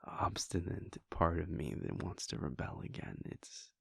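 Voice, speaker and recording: A man voicing a devoted adult vampire prince in an audio roleplay, speaking in a hushed, halting, intimate way close to the microphone. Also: low-pitched, expressive, vocal fry, husky, no echo, in a whisper, exaggerated voice. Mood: reflective. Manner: hushed, halting and intimate, with soft sighs and pauses. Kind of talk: audio roleplay aimed at the listener.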